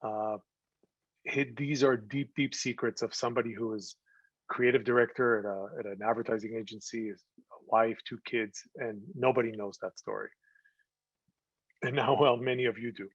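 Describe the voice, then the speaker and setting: A man giving an earnest talk to an audience about his film, often pausing to find words. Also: medium pitch, fairly even intonation, breathy edge, no echo. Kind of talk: presentation to an audience. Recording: slightly dull, dry sound.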